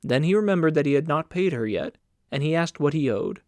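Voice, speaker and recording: A man's voice: on the high side, animated, fairly quick, a little mellow, no echo. A man reading scripted literary narration as an audiobook narrator.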